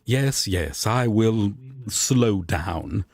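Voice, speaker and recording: Earnest, excitable angel roommate played by a man for audio drama: mid-pitched, unhurried, proper and polite, dry close recording. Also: no room sound, exaggerated voice. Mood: polite, gentle. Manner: formal, measured, courteous phrasing. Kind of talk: roleplay audio drama, comfort scene.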